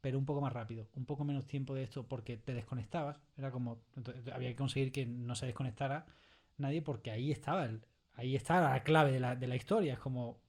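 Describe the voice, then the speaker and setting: A man's voice: mid-range voice, quick pace, a little mellow. A man with an accent from Spain speaking colloquially as a drama creator in a studio interview, often quoting himself and colleagues. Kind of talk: casual conversational interview. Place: recording studio.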